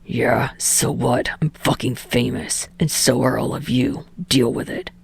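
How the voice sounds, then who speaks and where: A woman voicing a hotheaded young man, his words pushed out low and grainy with a snarl. He is curt and sweary, the gruff lead of a read-aloud fan story. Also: fairly even intonation, measured pace, darkish tone, heavily acted. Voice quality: gravelly, snarling. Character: hot-tempered young male celebrity, gruff. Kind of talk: podfic character voice.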